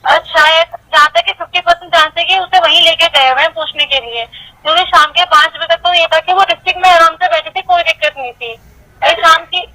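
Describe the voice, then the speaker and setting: A woman speaks on a recorded telephone call in a high, bright, somewhat piercing voice. She talks informally, hesitating and circling back over her phrases. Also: brisk. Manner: hesitant, repetitive, evasive. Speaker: colleague of a missing woman, on a phone call. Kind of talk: informal phone conversation.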